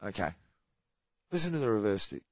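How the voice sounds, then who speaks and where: A man co-hosting a podcast, adding short lively remarks. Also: fairly low pitch, a little fast, vocal fry, dry recording, soft background music. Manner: lively, brief interjections. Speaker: podcast co-host. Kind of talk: casual podcast chat. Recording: dry, slightly dull sound.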